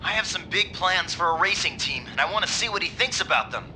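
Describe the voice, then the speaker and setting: A man's voice: high voice, lively intonation, fast, pushed and bright, soft background music, full character voice, low-quality recording. A man playing an eager, ambitious and polite racing enthusiast in a video game cutscene. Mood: eager, excited. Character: eager, ambitious would-be racing team builder.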